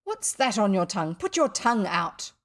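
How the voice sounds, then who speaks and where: A woman's voice: on the low side, steady tone, moderate pace, gravelly, breathy edge, pitched below the performer's own voice, full character voice. A woman voicing an exasperated father in a storybook, bellowing impatient orders at his son. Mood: bellowing scolding. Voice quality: loud, bellowing.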